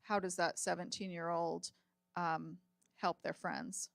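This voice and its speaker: A woman's voice: lowish voice, flat delivery, takes it slowly, gravelly, dry recording, studio quality. A woman moderating a live panel discussion, chatty and full of ums and restarts as she reads audience questions. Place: hotel event room with live audience.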